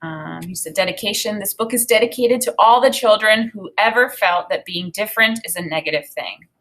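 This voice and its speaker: A woman in her thirties teaching a read-aloud lesson, enthusiastic and expressive, thinking out loud in a conversational way. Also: mid-range voice, a little fast, low-quality recording. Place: small home room, indoors.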